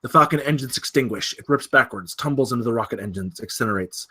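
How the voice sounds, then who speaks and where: A man's voice: mid-range voice, fast, bright, forceful tone, slightly rough. A man narrating scripted stage directions at a film table read.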